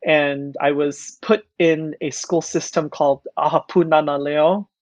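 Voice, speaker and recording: A speaker from Hawaii whose first language is Hawaiian, a choral artist answering interview questions thoughtfully, with frequent pauses and restarts. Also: medium pitch, fairly even intonation, no room sound. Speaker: choral artist, podcast guest. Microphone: video call.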